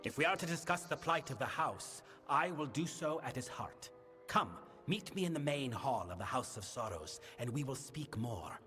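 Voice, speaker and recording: A male actor voicing a grave, burdened fae king in a fantasy game, speaking formally and in a measured, solemn manner. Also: mid-range voice, expressive, natural voice. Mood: stern, formal. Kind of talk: scripted fantasy game dialogue. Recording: long reverberant hall, music behind. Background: music.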